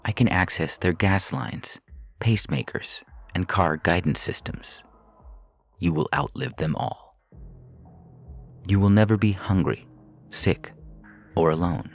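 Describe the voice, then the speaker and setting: Man voicing a very deep, calculating prison AI. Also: creaky, soft background music. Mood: calm menace. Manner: measured, clinical, formal, without contractions. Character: calculating prison AI, four years old, coolly persuasive, menacing. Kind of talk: scripted audio fiction reading.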